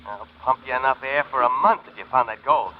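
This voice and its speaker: A man's voice: mid-range voice, fast, close to an everyday voice. An actor playing a treacherous male diving partner in a 1940s radio drama, sly and mocking. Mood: eager. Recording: tinny old radio with faint hiss.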